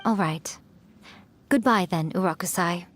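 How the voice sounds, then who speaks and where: A woman's voice: lowish voice, unhurried, slight background noise, close to an everyday voice. A woman playing a centuries-old female spirit in a video game, sly, self-assured and teasing in a leisurely, smug way. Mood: calm, gentle.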